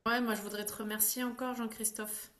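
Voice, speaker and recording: A woman's voice: fairly high pitch, level tone, a little fast, a crowd in the background. A woman hosting a group, speaking casually. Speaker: community host.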